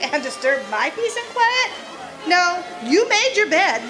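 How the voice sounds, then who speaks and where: A woman's voice: very high voice, big pitch swings, measured pace, music in the background, heavily acted. A woman playing a stern, judgmental, prim mother who scolds, in a radio drama. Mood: scolding.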